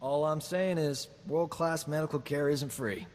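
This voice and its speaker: An actor playing a boastful, smooth-talking combat medic in a video game, joking and flattering himself. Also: male voice, a little high, a little slow, big-room sound, a little hiss or hum, natural voice. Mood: cocky. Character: cocky boastful man, a frontier combat medic. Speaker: companion character in a video game. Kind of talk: video game character dialogue.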